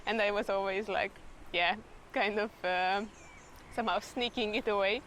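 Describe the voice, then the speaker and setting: A woman's voice: mid-range voice, fairly even intonation, normal speed, a bit bright, a little hiss or hum, low-quality recording. A young woman with a Hungarian accent chatting in an interview, laughing often as she talks. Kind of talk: casual interview chat.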